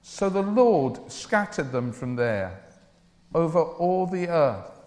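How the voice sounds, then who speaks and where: A man preaching a church sermon in an earnest, deliberate way, with declarative phrasing. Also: fairly high pitch, sing-song, darkish tone. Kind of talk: evangelical church sermon with Bible reading and prayer. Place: large church or hall, speaking to a congregation. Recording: reverberant hall, long echo.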